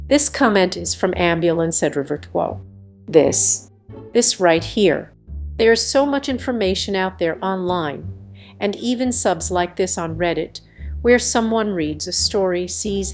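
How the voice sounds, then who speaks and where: A woman reading online stories aloud in a scripted narration style. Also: medium pitch. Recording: background music under the voice. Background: music.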